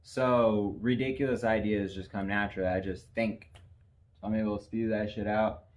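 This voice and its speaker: A man co-hosting a casual podcast who talks in a profane, animated way and laughs often. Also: lowish voice, normal speed. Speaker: podcast co-host. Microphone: camera facing the hosts.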